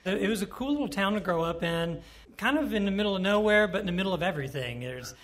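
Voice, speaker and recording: Nasal-voiced country musician from Ohio. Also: male voice, high voice, fast talker. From Ohio, USA. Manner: relaxed, rambling storytelling. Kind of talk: casual interview talk.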